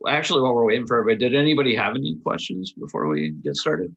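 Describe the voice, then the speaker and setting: A man leading a table read on a video call, talking casually and haltingly in a reassuring, informal way. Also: mid-range voice, sing-song, creaky, a bit bright, husky, muffled recording. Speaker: film production lead running a table read.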